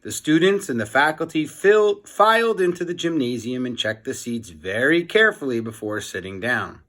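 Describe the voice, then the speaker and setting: A man's voice: mid-range voice, very animated, moderate pace, a bit bright. A man narrating a children's storybook aloud, as a teacher reading for young listeners.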